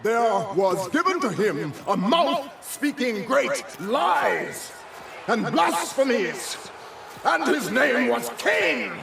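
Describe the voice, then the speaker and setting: An actor playing a zealous false prophet in a video game, preaching with booming fervour. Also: male voice, big pitch swings, takes it slowly, vocal fry, echoey room, far from the mic, full character voice. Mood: accusing. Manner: booming, fervent sermon delivery. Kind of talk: dramatic video game cutscene acting.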